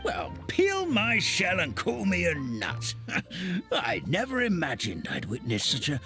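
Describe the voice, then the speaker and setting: A man's voice: high voice, wildly swooping pitch, measured pace, pushed and bright, faint music behind, full character voice. A man playing a jolly, doting elderly forest grandpa with a folksy manner in a video game. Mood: amazed, delighted. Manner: folksy, chuckling exclamations. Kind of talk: video game character acting.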